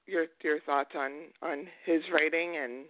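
A man's voice: a little high, fairly even intonation, a little slow, no room sound. A man hosting an interview, asking rambling questions full of "you know.". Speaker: podcast host, interviewer. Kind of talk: casual interview chat. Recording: dry tinny phone-like line.

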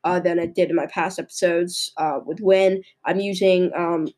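A young woman with a cold and a hoarse voice, hosting a podcast and reading her notes in a halting way, often stumbling and restarting. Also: on the low side, fairly even intonation, darkish tone. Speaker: podcast host. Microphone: microphone into a laptop.

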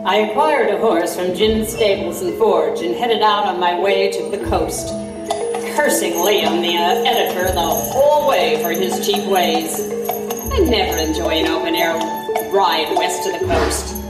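A woman's voice: on the low side, unhurried, far from the mic, close to an everyday voice. A woman playing a wry reporter who narrates her own period investigation in scripted first person. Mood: wry, storytelling. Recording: echoey, off-mic, music behind.

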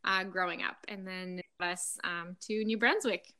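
A woman's voice: medium pitch, fairly even intonation, measured pace, pushed and bright, smooth. A woman from Quebec City whose first language is French, chatting as a podcast guest in an upbeat way and laughing between sentences. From Quebec City, Canada. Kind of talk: casual chat.

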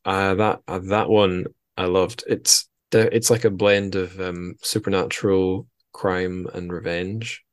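A man's voice: low-pitched, flat delivery, a little slow, few pauses, smooth, dry recording, studio quality. A man chatting casually as a podcast host, with a wry, dryly joking manner.

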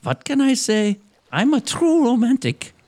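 A man's voice: very high-pitched, very animated, a little slow, darkish tone, voice pushed up, full character voice. A man playing a pompous, gullible and self-satisfied suitor in a gothic comedy audio drama. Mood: eager, pleased.

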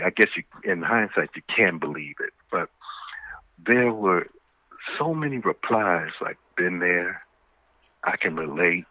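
A man giving measured, explanatory answers as a guest in a conversational interview. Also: sits low, no echo. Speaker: stage play cast member, panel guest. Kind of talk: conversational, informative interview talk. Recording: muffled phone-like radio line.

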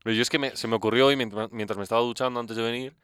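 A man's voice: medium pitch, steady tone, racing, fairly bright tone, smooth, no room sound, studio quality. A young man from Spain co-hosting a university radio show, trading jokes and teasing banter. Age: young adult. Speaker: co-host. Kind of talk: casual radio chat.

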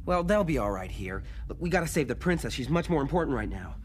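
An actor playing an earnest, impulsive young hero in a radio drama, eager and urgent. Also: male voice, a little high, very animated, fast, a little hiss or hum. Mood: eager, nervous. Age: young adult. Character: earnest, impulsive idealistic farm boy hero.

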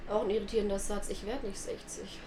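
A nineteen-year-old woman co-hosting a casual chat, telling stories in a lively, animated way and laughing at herself. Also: medium pitch, fast talker. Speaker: podcast co-host. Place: sitting on a church pew. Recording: echoey room with clear background noise.

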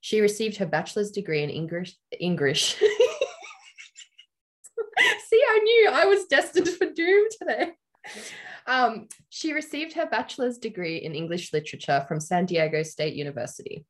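A woman with a deep, low voice co-hosting a casual chat, talking loosely and laughing often. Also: sing-song, normal speed. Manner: giggly, chatty, laughs often. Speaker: podcast co-host. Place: Australia.

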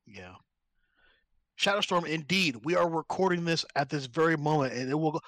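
A man's voice: a little high, lively intonation, normal speed, fairly bright tone, dry recording, clean studio sound. A man co-hosting a casual comedy podcast, playful, laughing easily and sliding into mock rants. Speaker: podcast co-host.

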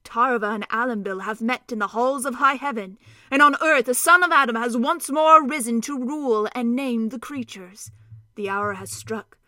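Woman voicing a booming noble centaur prophet. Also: normal speed, bright, forceful tone, no room sound. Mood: solemn. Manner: solemn, booming declarations. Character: stern old male centaur prophet, noble.

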